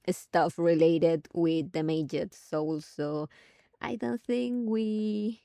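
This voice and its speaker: A woman doing casual game commentary, thinking aloud haltingly and breaking into exclamations. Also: mid-range voice, gravelly, dark tone, dry recording, clean studio sound. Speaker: streamer playing a game.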